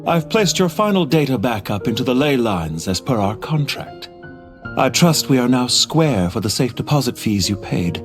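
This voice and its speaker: A man's voice: medium pitch, very animated, normal speed, faint music behind, lightly acted. A man voicing a cool, cynical, exacting banker in a video game, with formal and precise speech. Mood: dry, exacting.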